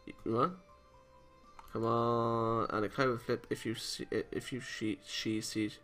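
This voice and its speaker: A man's voice: medium pitch, flat delivery, a little slow, fairly bright tone. A man hosting a let's play, reading a visual novel aloud with casual comments and often stumbling over lines. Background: game music.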